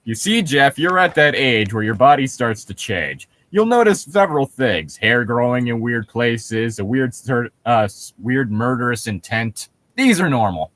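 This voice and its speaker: Young dude cracking sarcastic jokes, pitch all over the place, dry sound. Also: male voice, sing-song, unhurried, hardly any pauses, a bit bright, dry recording. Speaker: co-host of a comedic creepypasta reading. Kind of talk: joking riffs and casual reading.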